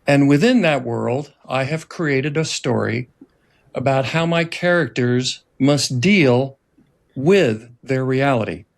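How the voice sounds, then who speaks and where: A man presenting a scripted podcast introduction as host and writer-director, formal and measured. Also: medium pitch, gravelly.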